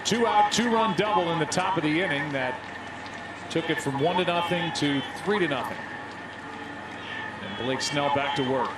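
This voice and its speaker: A man's voice: fairly high pitch, expressive, moderate pace, long pauses, heavy background noise. A man working as a play-by-play announcer, giving baseball broadcast commentary. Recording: roomy sound with loud music behind.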